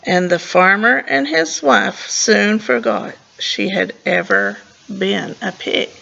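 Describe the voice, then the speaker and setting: A woman's voice: medium pitch, takes it slowly, vocal fry, muffled recording. A woman reading a picture book aloud for storytime, expressively, stumbling now and then and adding casual asides about the pictures. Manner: expressive, stumbling over words and commenting as she goes. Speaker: librarian reading a storybook to children.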